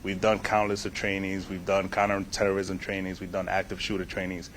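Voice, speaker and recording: A man's voice: low-pitched, completely flat delivery, brisk, fairly bright tone, a little hiss or hum. A man in his thirties from Puerto Rico, a police sergeant answering press questions in a plain, earnest way.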